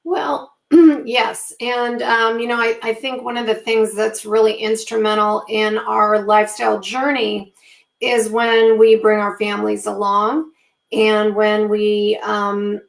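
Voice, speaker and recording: A woman co-hosting a live low-carb cooking show who is losing her voice, talking in a halting way with many fillers and throat-clearing. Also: little pitch movement, roomy sound. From Southern California. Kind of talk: live-streamed casual chat.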